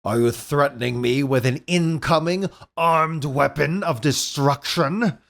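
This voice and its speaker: A man's voice: fairly high pitch, unhurried, creaky, a bit bright. Man acting a pompous, blustering comic-book villain. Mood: outraged. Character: pompous fat male villain, blustering and easily outraged. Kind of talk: amateur comic-book script read-aloud.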